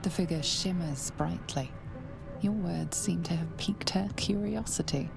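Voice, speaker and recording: A woman narrating a dark fantasy video game in the second person, solemn, measured and hushed. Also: on the low side, creaky. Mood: solemn, ominous. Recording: game music behind the narration.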